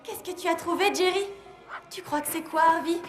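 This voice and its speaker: A woman voicing a sensible, curious, encouraging child sidekick in an animated film. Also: extremely high pitch, normal speed. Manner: questioning, earnest. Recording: echoing sound with music behind.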